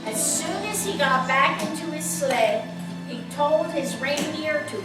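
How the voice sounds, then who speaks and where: Woman reading a kids story real slow, echoey room, music playing behind her. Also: high voice, distant mic. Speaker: narrator. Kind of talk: scripted children's story narration.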